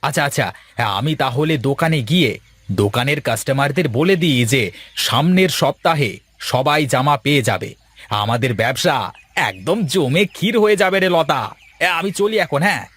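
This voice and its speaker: A man playing an excitable, friendly village clothes shopkeeper in a cartoon, jovial and full of exclamations. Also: fairly high pitch, normal speed. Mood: excited, businesslike. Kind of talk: Bengali moral-story cartoon voice acting.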